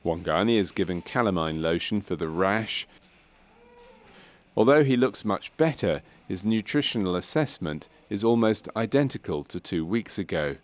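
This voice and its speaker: A man reading scripted narration for a medical training film in a measured, clinical way. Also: low-pitched, animated.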